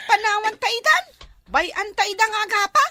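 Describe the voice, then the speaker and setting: A woman's voice: extremely high pitch, expressive, brisk, sharp tone, no room sound, heavily acted. A woman playing a gossipy, exclamatory youngster in a comic radio drama. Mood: worried.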